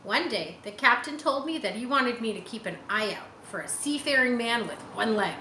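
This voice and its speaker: A woman's voice: a little high, animated, moderate pace, bright, forceful tone. A woman reading a children's chapter book aloud, with simple explanatory asides for young listeners. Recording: roomy echo, faint background noise.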